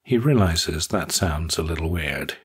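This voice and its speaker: A man's voice: very deep voice, lively intonation, measured pace, slightly rough. A man narrating a scripted short story.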